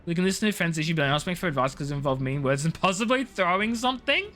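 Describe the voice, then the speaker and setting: A woman's voice: fairly low pitch, animated, very fast, a bit bright, soft background music. A woman chatting casually as a game streamer, playful and full of jokey asides. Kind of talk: casual live-stream chat and commentary.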